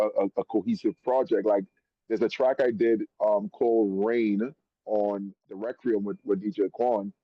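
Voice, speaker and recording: Trinidad-born guy on a podcast, slangy and animated, calls you bro, muffled dry audio. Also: fairly low pitch, no echo. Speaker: rapper guest. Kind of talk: casual podcast chat. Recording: dull, dry lo-fi sound.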